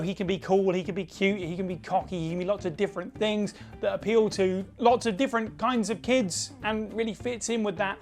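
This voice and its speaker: A man presenting a casual gaming opinion piece, chatty and enthusiastic, with fillers along the way. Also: high-pitched. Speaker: video presenter.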